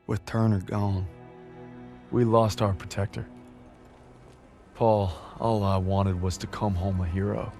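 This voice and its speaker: An actor playing a weary young soldier, narrating quietly and somberly in a game cutscene. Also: male voice, lowish voice, flat delivery, slow, pauses often. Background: music.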